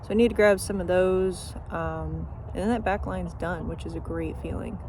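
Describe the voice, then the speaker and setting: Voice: mid-range voice, steady tone, normal speed. Easygoing farmer, slightly nasal. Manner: easygoing, chatty, many ums and uhs. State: nasal, stuffed up. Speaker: small farmer vlogging their farm. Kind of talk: casual vlog narration. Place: outdoors on a farm, by a chicken coop. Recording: noisy outdoor recording.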